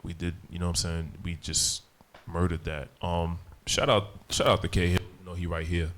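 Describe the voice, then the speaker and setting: A man with a deep voice, a rapper talking casually in an interview, full of slang and repeated "you know what I'm saying". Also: little pitch movement, normal speed, a bit bright. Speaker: rapper, guest.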